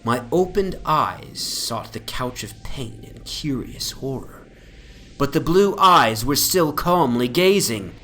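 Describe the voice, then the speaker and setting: A man giving a solemn, deliberate dramatic narration of a literary horror story over an ambient soundscape. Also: medium pitch, lively intonation, bright, forceful tone, slight background noise.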